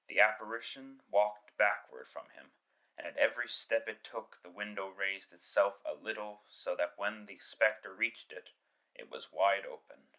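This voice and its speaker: A man narrating a classic story in a scripted, dramatic style with measured, literary delivery. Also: fairly low pitch, bright, forceful tone.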